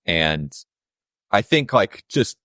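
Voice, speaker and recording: Young man, very low voice, reflective musician guest, loose chatty delivery, dry close sound. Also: sing-song, slow, bright, forceful tone, no room sound. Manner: reflective, loose, many fillers. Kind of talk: casual interview talk.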